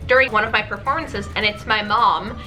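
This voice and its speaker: A young American woman telling casual comic stories to a friend in an animated way, acting out people's reactions. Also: medium pitch, fairly quick, faint music behind, low-quality recording. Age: young adult. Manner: animated, acts out quoted reactions. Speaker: video host. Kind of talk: casual comedic storytelling with a friend.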